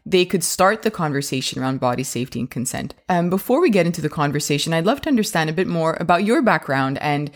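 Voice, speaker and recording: A woman's voice: low voice, animated, fast, few pauses. A woman hosting a parenting science podcast, reading the intro and sponsor thanks, then opening the interview with enthusiastic questions. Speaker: podcast host.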